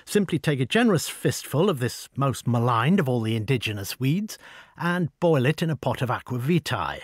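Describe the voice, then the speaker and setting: A man voicing a tall, proud older woman with an upper-class English accent, brisk and wry in an audiobook. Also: medium pitch, big pitch swings, dry recording, studio quality. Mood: commanding. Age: over forty. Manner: brisk, commanding, wry and talkative. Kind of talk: audiobook dialogue.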